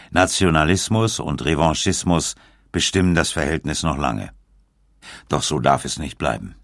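A man reading scripted documentary narration in a measured manner, with dramatic pauses. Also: extremely low pitch, vocal fry, no echo, studio quality.

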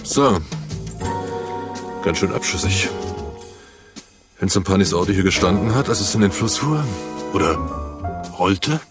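A man's voice: low voice, expressive, measured pace, pauses often, music in the background. An actor playing a terse, dutiful male police assistant in a radio crime drama, speaking matter-of-factly. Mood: pondering.

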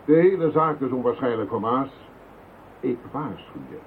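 A man's voice: medium pitch, expressive, normal speed, natural voice. A man voices a skeptical police superior in an old radio play, blunt and threatening in tone. The recording is narrow and tinny, with faint hiss. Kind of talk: radio drama acting.

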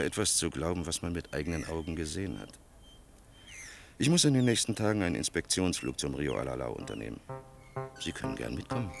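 An actor playing a grave, world-weary older male official in a German radio play, speaking with measured, bitter irony. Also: deep voice, vocal fry, fairly bright tone, exaggerated voice. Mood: confiding. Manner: measured, sardonic, rhetorical.

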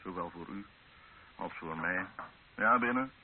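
A proud, stern male army captain in a radio drama. He speaks formally and deliberately, with the weight of command. The recording is thin and muffled, with some hiss behind it. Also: sits low. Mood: stern, forceful. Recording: dull narrow-band old radio recording with hiss.

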